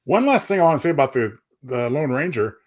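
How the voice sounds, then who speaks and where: A man with a medium-pitched voice co-hosts in a casual, conversational style. He offers his own remarks a little hesitantly. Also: very animated, brisk. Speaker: co-host.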